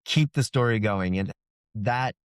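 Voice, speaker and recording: A man's voice: on the low side, measured pace, clear, resonant tone, no echo. A man speaking conversationally as an author in an interview, explaining how he writes. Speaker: author being interviewed about his book.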